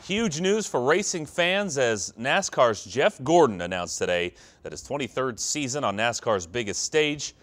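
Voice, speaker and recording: A man's voice: mid-range voice, animated, a little slow, few pauses, fairly bright tone. An American man reading scripted sports news as a television anchor.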